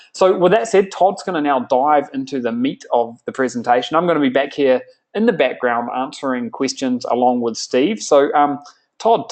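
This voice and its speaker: A man's voice: mid-range voice, darkish tone. A man in his thirties with a New Zealand accent hosting a live sales webinar, upbeat and salesy with frequent fillers. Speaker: webinar host.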